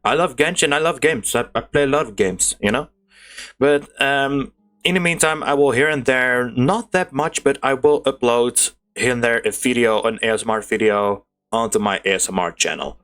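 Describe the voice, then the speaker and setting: A man giving casual, excitable gameplay commentary, chatting to his viewers as a gaming YouTuber. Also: medium pitch, moderate pace. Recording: game music behind voice. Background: video game music.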